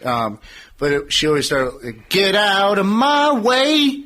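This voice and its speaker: A man's voice: sits high, wildly swooping pitch, measured pace, sharp tone. An American man bantering casually and asking questions on a radio talk show.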